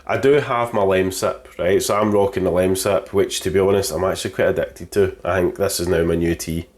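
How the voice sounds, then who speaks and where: A man with a fairly low voice, audibly bunged up with a cold. He talks to camera in a casual, cheeky way, slipping jokes and innuendo into a golf gear review, recorded in his home kitchen. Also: fairly even intonation, brisk, darkish tone. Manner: cheeky, jokey, full of innuendo. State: congested with a cold.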